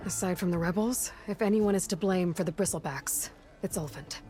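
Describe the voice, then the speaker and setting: An actress playing a determined, pragmatic young huntress in a video game, speaking earnestly and matter-of-factly. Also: female voice, lowish voice, a little fast, dark tone, soft background music, natural voice. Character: determined, pragmatic young female hunter heroine. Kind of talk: game cutscene dialogue and musing aloud.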